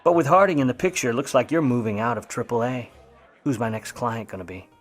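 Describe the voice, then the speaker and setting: An actor playing a wry, grudging, hard-boiled Hollywood private eye of the 1950s in old radio noir style, full of slangy wisecracks. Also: male voice, mid-range voice, brisk, soft background music, lightly acted. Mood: sardonic, needling. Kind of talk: old-time radio noir acting with narration.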